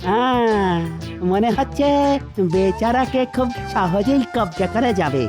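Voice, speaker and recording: A woman's voice: on the high side, lively intonation, slow pace, over music. A woman voicing a sly old male devil with a teasing, wheedling manner in a cartoon dub. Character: sly old male devil with goat hooves, taunting tempter.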